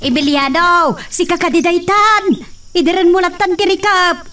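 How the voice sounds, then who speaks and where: A woman's voice: very high voice, steady tone, moderate pace, music in the background. A woman playing a nervous, fretful middle-aged peasant wife and mother in a radio drama, with an Ilocano accent. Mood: fretful, alarmed. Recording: distant, faintly noisy recording.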